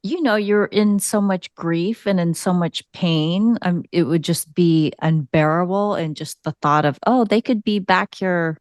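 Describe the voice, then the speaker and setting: A woman's voice: on the low side, a little slow, few pauses, no room sound, studio quality. A woman chatting casually as host of a group discussion, putting questions to the others. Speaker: podcast host asking panel questions.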